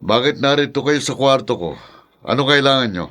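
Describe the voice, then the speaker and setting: Actor as bitter middle-aged father, medium pitch, curt, gruff, cold, dismissive, radio drama. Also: expressive, brisk, a bit bright, close to an everyday voice. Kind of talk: Filipino podcast radio drama acting.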